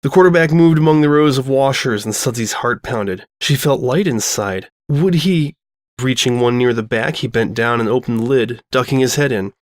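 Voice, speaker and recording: A man's voice: mid-range voice, normal speed, dry recording. A man reading a scripted comic story as narrator, telling it expressively. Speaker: fan fiction narrator.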